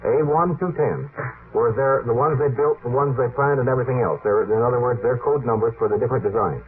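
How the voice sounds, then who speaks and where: A man as a radio panel guest, explaining technical matters in a halting, pedantic way and correcting others. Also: mid-range voice. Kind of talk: unscripted technical explanation in discussion. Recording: noisy, phone-like vintage radio archive recording.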